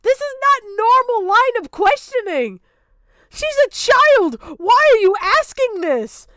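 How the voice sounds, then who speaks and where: A woman's voice: very high-pitched, big pitch swings, takes it slowly, fairly bright tone, no room sound. A woman streaming unscripted reaction commentary, exasperated, sarcastic and prone to swearing.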